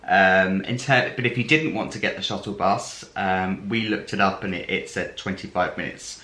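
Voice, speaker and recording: Low, bright-toned man, echoey. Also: normal speed. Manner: relaxed, hesitant, adds asides. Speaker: travel vlogger. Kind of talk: casual planning chat. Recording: echoey room.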